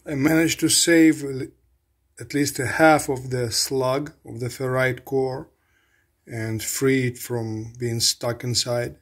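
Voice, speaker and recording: A man casually narrating his hands-on repair work as a hobbyist, in a halting, matter-of-fact way. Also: medium pitch, steady tone. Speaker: hobbyist radio restorer. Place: indoor home electronics workbench.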